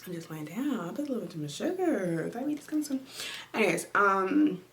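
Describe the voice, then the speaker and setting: A woman's voice: medium pitch, big pitch swings, a little fast, fairly bright tone. A young woman with a congested voice, chatting in a rambling, filler-heavy way as she reads viewer comments from home. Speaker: vlogger. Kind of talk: casual chat reading viewer comments. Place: at home, lying down.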